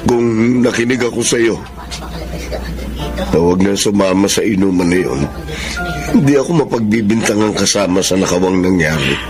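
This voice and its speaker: A man's voice: on the low side, lively intonation, normal speed, long pauses, lightly acted, rough recording. A man playing a sincere, regretful jailed husband in a Filipino radio drama. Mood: remorseful. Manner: earnest, regretful. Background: loud music.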